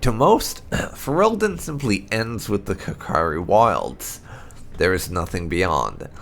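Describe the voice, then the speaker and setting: A man hosting a gaming channel, reading fantasy game lore aloud in a measured storytelling way. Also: sits low, very animated, fairly bright tone, slight background noise. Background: background music.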